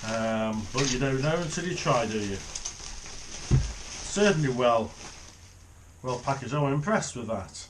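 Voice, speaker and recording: Man, middle-aged, slow pace, casual hands-on commentary, thinking aloud, clear background noise. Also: mid-range voice, lively intonation. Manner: unhurried, thinking aloud while handling items. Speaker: hobbyist reviewer. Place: small home office, indoors.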